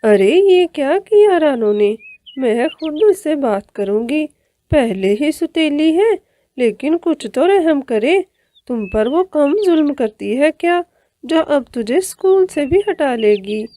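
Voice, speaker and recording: A woman's voice: high voice, lively intonation, takes it slowly, mellow, pitched above the performer's own voice. A woman voicing a protective, outspoken older auntie bird in a cartoon, motherly and indignant. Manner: indignant, motherly, plain-spoken. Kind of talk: Hindi cartoon story voice.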